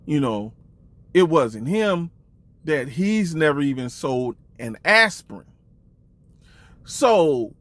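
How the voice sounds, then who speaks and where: Slow, animated man with swooping pitch. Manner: accusatory, teasing, drawn-out emphasis with rhetorical questions. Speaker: online commentator and storyteller. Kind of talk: mocking commentary, addressing the camera.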